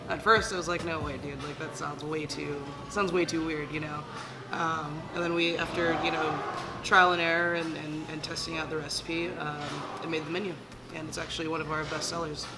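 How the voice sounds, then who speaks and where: A man from Hawaii, a head chef, talking casually in an interview with a relaxed, rambling manner full of ums. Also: on the high side, normal speed, poor audio. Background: loud background music.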